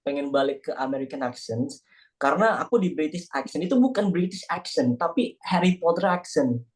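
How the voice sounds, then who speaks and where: A man's voice: fairly high pitch, lively intonation, brisk. A young man with an Indonesian accent chatting in a casual online class discussion, joking and self-deprecating. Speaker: class participant. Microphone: video call.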